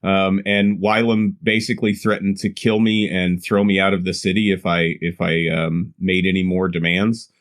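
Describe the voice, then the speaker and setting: A man's voice: low-pitched, level tone, moderate pace, hardly any pauses. A man improvising conversation as a player in a tabletop game, hesitant, rambling and apologetic.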